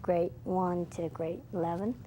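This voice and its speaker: Soft-spoken young woman. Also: lowish voice, little pitch movement, a little slow, a little hiss or hum. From Myanmar, Chin State. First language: Chin. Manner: soft-spoken, hesitant, many small fillers. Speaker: interview guest. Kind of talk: casual interview answers.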